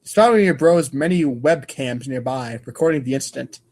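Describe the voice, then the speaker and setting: A man's voice: medium pitch, moderate pace, a little mellow. A man reading comic narration aloud in a casual, irreverent way, stumbling over lines now and then. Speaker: podcast co-host and reader.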